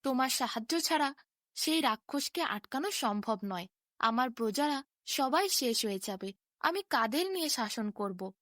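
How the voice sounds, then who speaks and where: A woman's voice: high-pitched, lively intonation, moderate pace, fairly bright tone, dry recording, clean studio sound. A woman voicing an earnest, pleading king in a storybook fairy tale, solemn and imploring.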